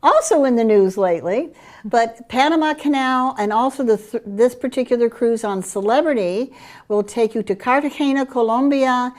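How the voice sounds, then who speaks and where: A middle-aged woman from Curaçao with a Dutch Caribbean accent, presenting group trips in an eager sales pitch. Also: normal speed, darkish tone. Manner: enthusiastic sales pitch with fillers. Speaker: travel program presenter. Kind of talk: promotional chat about group tours.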